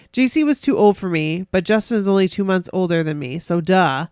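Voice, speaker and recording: A woman's voice: fairly low pitch, normal speed, clean tone, dry recording, clean studio sound. A woman co-hosting a podcast, casually chatting and reading stories aloud in a giggly, gushing way. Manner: giggly, gushing, reacts excitedly. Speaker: podcast co-host.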